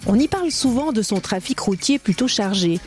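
A woman reporter, narrating a radio feature in light, crisp storytelling. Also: medium pitch, lively intonation, a little fast, music in the background. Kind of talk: scripted narration.

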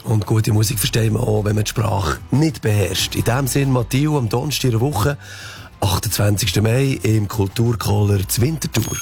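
A man's voice: lowish voice, normal speed, gravelly, a little mellow. A man with a Swiss accent hosting a radio segment, presenting event previews.

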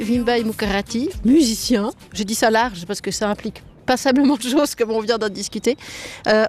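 A woman hosting a podcast interview, framing long questions with a few fillers. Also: a little high. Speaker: podcast host.

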